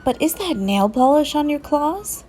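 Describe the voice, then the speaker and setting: A woman's voice: high voice, big pitch swings, normal speed, mellow, slight background noise, pitched above the performer's own voice. A young storybook boy voiced by a woman, frank and choosy. The voice sits a little raised, polite but insistent, with an anxious streak. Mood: politely insistent.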